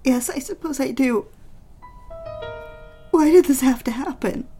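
A woman's voice: high voice, a little slow, warm tone. A woman acting a distraught, pleading young wife in an audio drama, anxious and full of questions. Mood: dazed, remorseful. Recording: music under the voice. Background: music.

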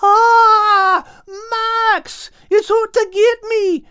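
A man's voice: extremely high pitch, level tone, extremely slow, bright, forceful tone, no room sound, clean studio sound, pitched above the performer's own voice, full character voice. A man voicing a timid, easily panicked animal in an audiobook, plaintive and wailing. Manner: plaintive, wailing, simple-hearted.

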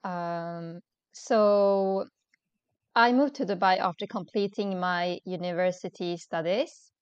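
A young woman from Sweden answers podcast questions slowly, pausing with lots of ums and restarts. Her voice is mid-pitched and smooth. The call audio is dry and a little dull. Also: fairly even intonation, no echo. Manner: hesitant, many ums and restarts. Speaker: podcast guest. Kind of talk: casual interview chat. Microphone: remote call.

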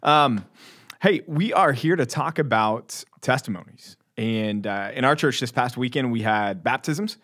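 A man's voice: mid-range voice, animated, unhurried, a bit bright. Man, American accent, mid-range voice, podcast host, casual conversational talk. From Texas, United States.

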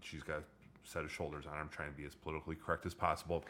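A middle-aged man with a low voice hosting a casual chat, joking and rambling with many restarts. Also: level tone, rapid-fire, a bit bright, a little hiss or hum. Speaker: podcast host.

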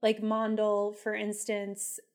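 A woman's voice: medium pitch, monotone, slow. A woman co-hosting a podcast, talking things through in a casual, thoughtful way with many "like" fillers. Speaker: podcast co-host. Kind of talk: casual analytical chat.

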